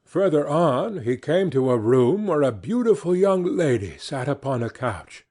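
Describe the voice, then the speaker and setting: A man reads a scripted fairy tale in a fairly high, husky voice. His pitch moves in wide, animated sweeps, and his storytelling is measured and expressive, as an audiobook narrator.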